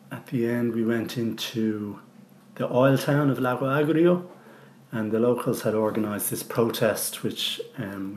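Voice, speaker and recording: A man's voice: mid-range voice, a little mellow, echoey room, slight background noise. A man in his forties with a Dublin Irish accent, looking back in a loose, reflective way with many ums and restarts. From Ireland. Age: 40s. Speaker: interviewee. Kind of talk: recorded personal testimony.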